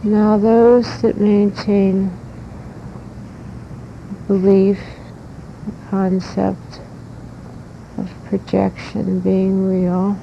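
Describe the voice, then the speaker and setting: A woman giving a spiritual lecture in deliberate, halting phrases, instructing the listener like a teacher, on a muffled old cassette recording. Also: flat delivery, vocal fry. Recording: muffled noisy old cassette tape with rumble.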